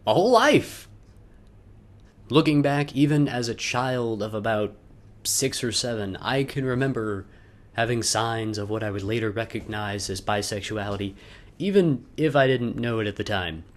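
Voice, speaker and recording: A man's voice: on the low side, lively intonation. Theatrical young man reading a personal letter. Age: young adult. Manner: theatrical, halting delivery with emphatic repeats and wry asides. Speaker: video letter narrator.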